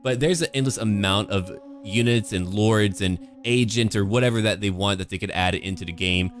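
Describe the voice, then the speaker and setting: A man with a fairly low voice talks through a game in a casual, conversational style. He sounds keen as he speculates, and music plays faintly behind him. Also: moderate pace. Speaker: gaming video creator, commentator. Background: background music.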